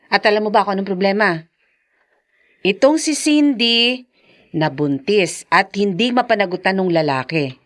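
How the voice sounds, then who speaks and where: A caring neighbour woman, played by an actress in a radio drama. Her voice swings up and down with lively expression as she gossips, coaxes and pleads. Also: mid-range voice, moderate pace, long pauses, close to an everyday voice. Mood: concerned gossip. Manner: expressive, concerned, coaxing. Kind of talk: Tagalog radio drama acting.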